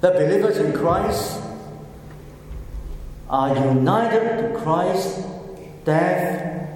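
A man whose first language is Chinese, preaching a sermon slowly and repeating key phrases for emphasis, in an echoey hall. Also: sits high, lively intonation, a little mellow. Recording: echoey hall, heard from a distance.

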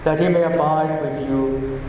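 A pastor with a Cantonese accent preaching a sermon, slowly explaining words one by one. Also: male voice, a little high, fairly even intonation, a little mellow, slight background noise. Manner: slow, deliberate, explaining word by word. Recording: echoey hall with faint ambience.

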